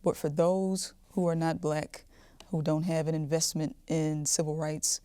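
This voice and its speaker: Low, even-toned woman podcast host. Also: measured pace, clean tone, no echo. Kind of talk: interview questioning.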